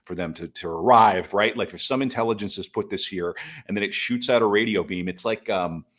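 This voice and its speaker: A man's voice: lowish voice, a little fast, a bit bright. A man co-hosting a podcast, discussing film casually with thoughtful, hesitant phrasing. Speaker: podcast co-host.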